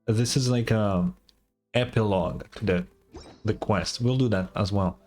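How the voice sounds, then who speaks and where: A man's voice: fairly low pitch, measured pace, a little mellow, soft background music. A man giving casual, blunt, sweary reaction commentary as a game streamer.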